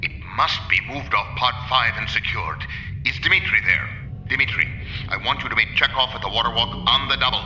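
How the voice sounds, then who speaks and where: A man's voice: medium pitch, brisk, bright, forceful tone, roomy sound, slight background noise, poor audio. A man playing a stern, commanding general giving clipped orders in a video game cutscene. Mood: urgent, commanding. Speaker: military commander.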